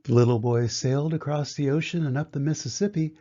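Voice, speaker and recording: An older man, a grandfather, reads a children's storybook aloud in a measured, gentle way. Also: fairly high pitch. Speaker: grandfather reading a picture book aloud. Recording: dull, muffled lo-fi sound.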